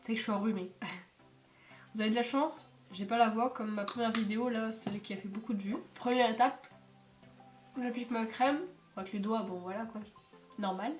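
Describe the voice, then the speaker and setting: Teen girl, congested from a cold, rambling vlog chat, slightly high voice, echoey room, light music under. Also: fairly even intonation, normal speed. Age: teens. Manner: chatty, rambling with many fillers. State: congested, stuffy nose from a cold. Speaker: beauty vlogger. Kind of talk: casual makeup tutorial chat. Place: home.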